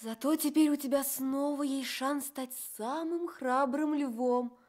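Little girl, high-pitched, brave, caring, earnest, radio drama. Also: steady tone, a little slow, a little mellow. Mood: earnest, coaxing. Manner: earnest, coaxing. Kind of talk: Soviet children's radio play acting.

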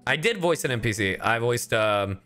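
A male streamer with a forceful, bright voice and very lively pitch. He chats casually and playfully with his viewers, faint game music underneath. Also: normal speed. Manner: animated, playful, casual swearing. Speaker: streamer and voice actor. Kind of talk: casual livestream chat. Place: indoor livestream with game music.

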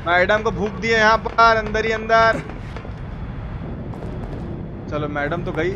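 A man with an eastern Indian Hindi accent giving casual, excitable, slangy gameplay commentary as a live-streamer over game sound. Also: sits high, normal speed, highs cut off. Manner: excitable, crude slangy exclamations. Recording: noisy game sound behind voice. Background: horror game sound.

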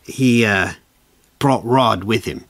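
A man's voice: fairly low pitch, slow, fairly bright tone, full character voice. An actor playing a patient, long-suffering male assistant to a rock star, dry and understated, in a comedy audio drama. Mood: matter-of-fact.

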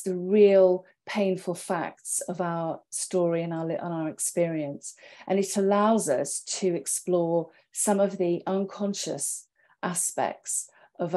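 Mellow, lowish-voiced middle-aged woman. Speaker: panellist and therapist. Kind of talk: explanatory panel talk. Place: home study, indoors. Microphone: earphone headset on video call.

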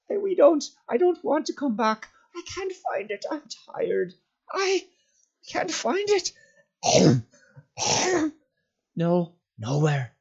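A man voicing a wretched, cunning cave creature in a storybook reading, whining and hissing, with raspy whispers that break into a shrill voice. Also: dull audio, heavily acted. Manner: whimpering, shrieking, speaks of himself as "we".

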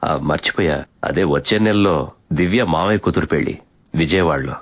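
A man's voice: very deep voice, brisk, no echo. A man playing a mild, absent-minded middle-aged father-in-law in a family film. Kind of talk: film family drama acting.

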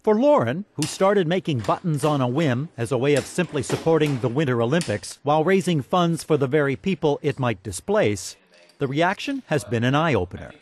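A man's voice: a little high, lively intonation, moderate pace, a little hiss or hum. A man narrating a scripted television feature as a reporter, in polished, storyteller-like phrasing.

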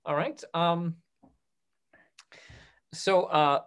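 A man's voice: a little high, steady tone, extremely slow, a bit bright, clean tone. A man in his thirties casually hosting a question-and-answer panel over a video call. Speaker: panel host. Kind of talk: casual moderated Q&A. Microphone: video call microphone.

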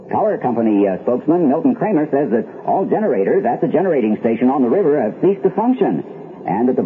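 A man playing a flustered radio news anchor in a 1970s newscast drama, speaking haltingly with many hesitations. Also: mid-range voice, breathy edge. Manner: halting, hesitant, full of uhs. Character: flustered male radio newsroom anchor, urging calm. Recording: tinny band-limited 1970s radio recording.

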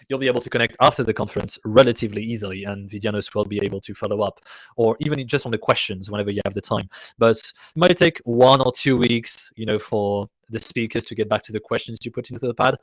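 A man hosting a live online conference Q&A, chatty and rambling, his voice getting raspy. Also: medium pitch, dry recording, low-quality recording. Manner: chatty, rambling, self-correcting. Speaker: conference host. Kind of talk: casual live Q&A moderation.

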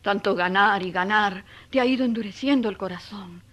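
A woman playing a gentle, anguished mother of a grown son in a radio drama, sorrowful and imploring. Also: medium pitch, normal speed, natural voice. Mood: reproachful.

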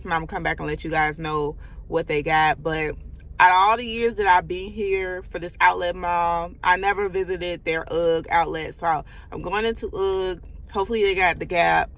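A young woman vlogging to her phone from inside a car, chatty and advice-giving, calling her viewers y'all. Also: on the low side. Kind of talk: casual vlog talk to camera. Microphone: phone camera at arm's length.

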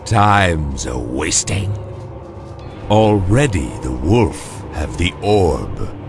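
A villainous necromancer from a video game, sly and menacing. The voice is deep and taunting, sliding up and down with relish. Music plays behind it. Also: male voice, very animated, heavily acted. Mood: mocking, menacing. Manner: slow, taunting, sinister. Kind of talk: video game cutscene acting.

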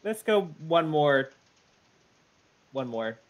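A man's voice: fairly high pitch, very animated, slow pace. A man playing a tabletop game over a video call, hesitant and laughing nervously. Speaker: player.

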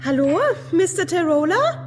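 High-voiced, fragile, obsessive woman. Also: big pitch swings, moderate pace, a little hiss or hum. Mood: eager, ingratiating. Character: fragile, obsessive, eager-to-please unstable young woman. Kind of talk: radio play acting.

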